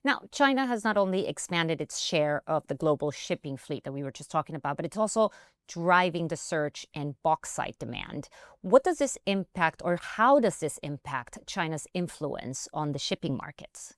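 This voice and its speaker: A woman hosting a business interview, briskly reading prepared questions. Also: sits low, lively intonation, dry recording, clean studio sound. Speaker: interviewer host.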